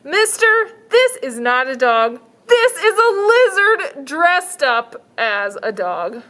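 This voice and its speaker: A woman's voice: high voice, sing-song, takes it slowly, pushed and bright, voice pushed up, heavily acted. A woman voicing a stubborn little girl who firmly insists she wants a dog. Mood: stubborn insistent. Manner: firm, insistent, childlike.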